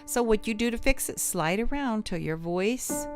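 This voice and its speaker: A woman's voice: medium pitch, lively intonation, normal speed, over music. A woman teaching a live singing lesson, chatting with viewers in an encouraging way and laughing easily.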